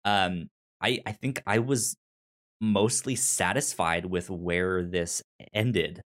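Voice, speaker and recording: A man with a stutter, talking casually and haltingly as he co-hosts a podcast discussion. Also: low-pitched, fairly bright tone. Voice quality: stutter, repeating sounds and syllables. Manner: halting, searching for words. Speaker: podcast co-host reviewing an audio drama.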